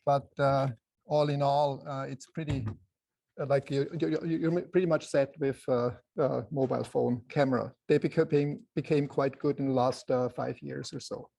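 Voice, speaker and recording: A soft-voiced man with a Swiss accent presenting an informal photography lecture to a group, in halting phrases with many pauses. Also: medium pitch, steady tone, gravelly. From Switzerland.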